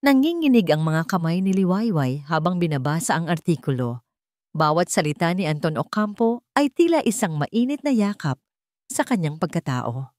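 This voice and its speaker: A woman narrator delivers a scripted dramatic story. Her pitch sits a little low for a woman, and the sound is dry, with hardly any room in it. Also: a little mellow, no room sound.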